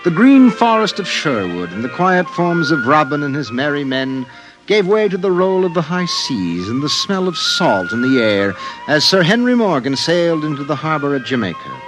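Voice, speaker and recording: An actor as a grave, poetic storyteller narrating an old-time science-fiction radio drama. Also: male voice, on the high side, big pitch swings, moderate pace, low-quality recording. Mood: wry, lyrical. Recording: music behind the narration. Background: music.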